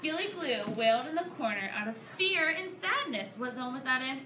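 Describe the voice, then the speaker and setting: A woman reading a storybook aloud to a class of children, pausing to put questions to them in an encouraging, teacher-like way. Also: high-pitched, lively intonation. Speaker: author reading her book to a class. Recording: echoey room, clear background noise. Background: children answering, room noise.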